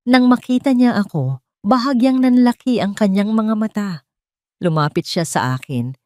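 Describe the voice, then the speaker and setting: A woman's voice: medium pitch, lively intonation, moderate pace, a little mellow, no echo. A woman reading a scripted first-person story as a dramatic narrator.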